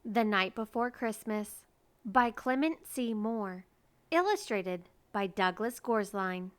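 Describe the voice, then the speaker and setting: A woman reading a children's verse story aloud as narrator, in a measured and expressive way. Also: medium pitch, clear, resonant tone.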